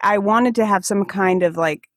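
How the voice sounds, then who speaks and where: A woman with an American accent, faintly Alaskan, speaking as an interview guest in a casual, halting way, searching for words. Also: mid-range voice, no echo, clean studio sound. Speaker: podcast guest, comedian and activist.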